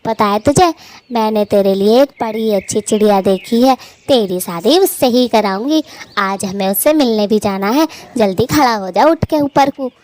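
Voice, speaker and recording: A woman voicing a domineering older mother-in-law in a cartoon, scolding with sharp taunts. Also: medium pitch, normal speed, close to an everyday voice. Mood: bossy. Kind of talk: Hindi cartoon voice acting.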